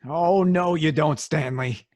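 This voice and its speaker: An actor playing a hot-headed, defiant robber in an old-time radio drama, with a rough, belligerent voice. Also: male voice, fairly high pitch, slow, a little mellow. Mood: defiant, panicked. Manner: gruff, belligerent.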